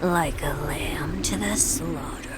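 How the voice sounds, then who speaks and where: Slow, intense boy prince. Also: low voice. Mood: menacing, gloating. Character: young boy prince with a sinister, bloodthirsty dark side. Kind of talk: dramatic video game acting. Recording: loud background noise and ambience.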